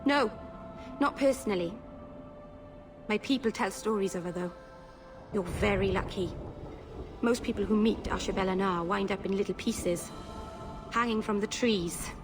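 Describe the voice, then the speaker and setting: A woman's voice: medium pitch, moderate pace, long pauses, music in the background, natural voice. A woman voicing an earnest young female elf mage in a video game, hesitant and apologetic, tending to babble and interrupt herself. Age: young adult.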